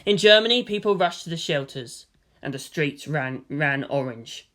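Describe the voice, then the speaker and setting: Dramatic mid-pitched story narrator. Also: animated, moderate pace, fairly bright tone. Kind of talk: dramatic scripted fan fiction narration.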